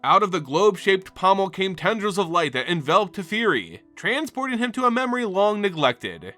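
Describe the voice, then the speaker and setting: A man's voice: sits high, sing-song, brisk, sharp tone. A man reading scripted fantasy lore as a host and narrator, with a theatrical, earnest storyteller delivery. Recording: music behind the voice. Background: background music.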